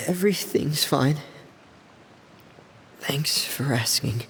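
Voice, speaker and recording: An actor playing a reserved, soft-spoken young brother in a video game, troubled and loyal. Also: male voice, medium pitch, slow pace, creaky, slight background noise. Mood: troubled, apologetic. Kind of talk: dramatic video game voice acting. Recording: loud background music. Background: music.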